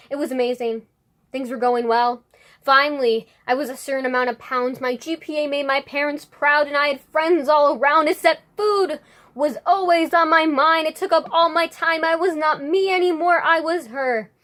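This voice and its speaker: A woman's voice: high voice, a bit bright. A young woman performing spoken-word slam poetry with an earnest, dramatic and rhythmic delivery. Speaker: slam poet.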